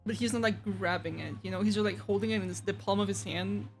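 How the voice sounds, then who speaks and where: A woman's voice: mid-range voice, fast, soft background music. A woman streamer giving casual commentary on a game, thinking aloud as she reacts.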